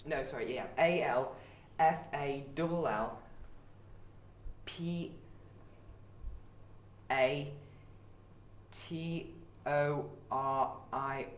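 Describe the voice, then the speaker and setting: A man's voice: medium pitch, very slow, pauses often. A man auditioning, chatting casually as he answers interview questions. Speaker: audition candidate. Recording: very echoey room, distant mic, clear noise.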